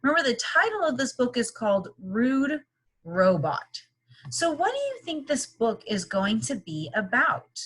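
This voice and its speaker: A woman speaks as a teacher leading a children's read-aloud. Her pitch moves in lively swings over a somewhat husky tone. She explains in simple, encouraging phrases and often puts questions to her young listeners. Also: measured pace.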